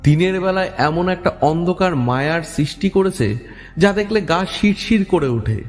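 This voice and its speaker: A man's voice: high-pitched, lively intonation, moderate pace. A man narrating a horror story in a dramatic, atmospheric way. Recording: long reverb, music underneath. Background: music.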